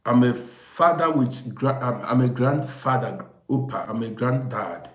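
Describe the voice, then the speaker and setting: A man's voice: medium pitch, unhurried. A man of fifty-eight from Vienna hosts an informal livestream, talking to viewers emphatically and repeating his phrases insistently. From Vienna, Austria. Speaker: live-stream host and storyteller. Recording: echoey room sound.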